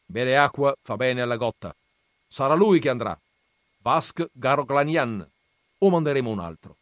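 Male reader as elderly patriarch, booming and commanding, dry close recording. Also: animated, moderate pace, fairly bright tone, no echo, natural voice. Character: stern old Armenian grandfather, patriarch.

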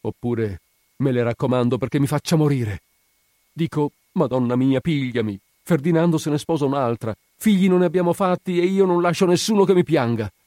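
A man's voice: mid-range voice, fairly even intonation, moderate pace, mellow, dry recording, clean studio sound, lightly acted. A man voicing an anguished, fiery married woman in a story, pleading and bitter with remorse. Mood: desperate pleading. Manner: pleading, impassioned, vehement outbursts. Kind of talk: dramatic audiobook character reading.

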